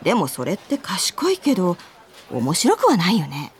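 A woman playing a perky, forthright young senior colleague, expressive and casual, in a radio drama. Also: fairly low pitch, moderate pace. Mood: challenging.

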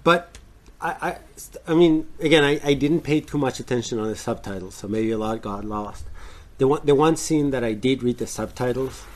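A man's voice: medium pitch, normal speed, hardly any pauses. A man co-hosting a casual film-review podcast, who rambles with many fillers and restarts. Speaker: podcast co-host.

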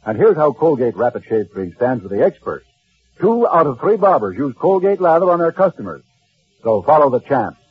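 A man's voice: medium pitch, very animated, moderate pace, slightly rough. An American man reading a 1940s radio advertisement as an announcer, with a punchy, emphatic sales delivery. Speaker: commercial announcer. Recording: tinny old radio broadcast, faint music.

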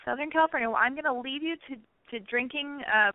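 A woman's voice: sits high, quick pace, no room sound. A woman hosting a call-in show, giving blunt, emphatic advice to callers. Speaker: radio call-in host. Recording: phone-like narrow sound.

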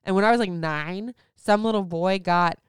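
A woman's voice: mid-range voice, moderate pace, clean tone, dry recording, studio quality. A woman in her thirties from Florida, chatting candidly as a host answering listener questions, rambling with lots of "like"s. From Florida, USA. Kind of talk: casual chat.

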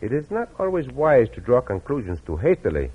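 Vintage Western radio hero: gallant Mexican adventurer, hugely animated, husky, muffled phone-like sound. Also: male voice, medium pitch, brisk. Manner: formal, courtly phrasing. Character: gallant, flirtatious, courtly Mexican cowboy adventurer. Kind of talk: 1940s-50s Western radio acting.

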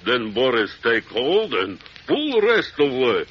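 A man's voice: medium pitch, huge pitch swings, slow pace. An actor playing a big, simple-minded, earnest Russian strongman, groping for English words in old radio style. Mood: naive pride. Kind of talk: 1940s radio acting. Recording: muffled, noisy old radio recording.

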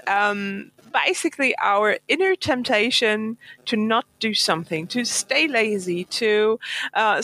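Slow, giggly woman. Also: mid-range voice, pushed and bright. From Germany. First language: German. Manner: slow, hesitant, many ums, giggly. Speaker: podcast guest. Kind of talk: casual podcast chat.

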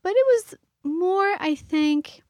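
A middle-aged woman from Iran, a guest speaking earnestly and thoughtfully with pauses and fillers. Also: extremely high pitch, darkish tone, no room sound. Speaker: author and guest. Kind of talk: reflective interview talk.

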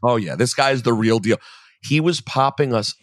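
A middle-aged man tells a long story on a podcast at a middle pitch, stopping and restarting as new details come to him. His voice sits dry and close to the mic, with no room sound. He laughs easily between lines. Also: moderate pace, no room sound. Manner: rambling storyteller, self-interrupting, laughs often. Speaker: podcast host. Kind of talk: casual podcast chat.

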